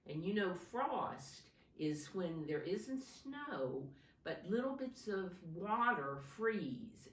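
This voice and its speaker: A woman preschool teacher reading a story aloud to young children, explaining in simple words and asking them questions. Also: sits low. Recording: echoey room, slightly distant microphone.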